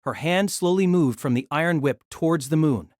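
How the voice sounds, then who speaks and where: A narrator reading a novel aloud in an expressive storytelling manner. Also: medium pitch, normal speed, dry recording, clean studio sound.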